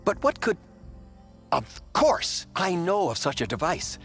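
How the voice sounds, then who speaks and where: Pompous, animated scholarly game mentor. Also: male voice, sits high, a little slow, soft background music, exaggerated voice. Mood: grave, dramatic. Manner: deadpan, grandiose. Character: pompous, dry scholarly man explaining ancient relics. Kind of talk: animated video game acting, dramatic exposition.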